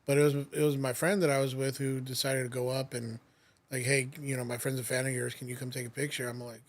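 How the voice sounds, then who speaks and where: A man's voice: medium pitch, little pitch movement, quick pace. A man hosting a podcast, telling stories in casual, rambling chat full of restarts. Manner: rambling storyteller, many restarts and "like". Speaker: podcast host.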